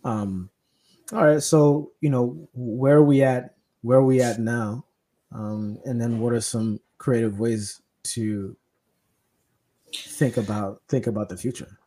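A young man from Kenya hosts a casual podcast chat. His voice is mellow and relaxed, and he talks haltingly, restarting his sentences and leaning on fillers. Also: medium pitch. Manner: hesitant, many restarts and fillers. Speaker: podcast host. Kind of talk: casual conversation.

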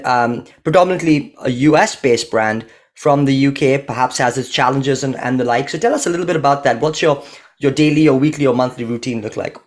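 A man hosting a podcast remotely, chatty and enthusiastic, with plenty of "um" fillers. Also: medium pitch. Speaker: podcast host.

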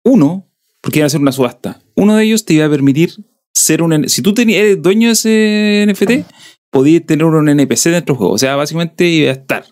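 A man's voice: fairly high pitch, animated, fast, a little mellow. Chatty Chilean man hosting a podcast. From southern Chile. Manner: rambling, chatty storytelling. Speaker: podcast host. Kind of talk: casual podcast chat.

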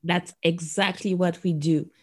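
A woman's voice: on the low side, steady tone, measured pace. A woman from Guadeloupe hosting a podcast interview, who listens attentively and murmurs agreement often. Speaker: podcast host.

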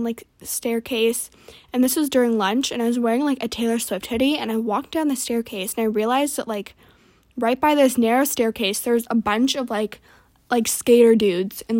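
Chatty, rambling teenage girl, higher-pitched. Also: darkish tone. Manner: chatty, rambling, exasperated teen slang. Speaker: podcast host. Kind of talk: casual rambling storytelling.

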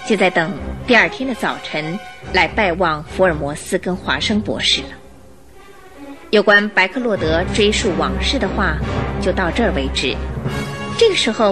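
A woman reading scripted narration for a Mandarin radio drama with expressive storyteller phrasing. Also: medium pitch.

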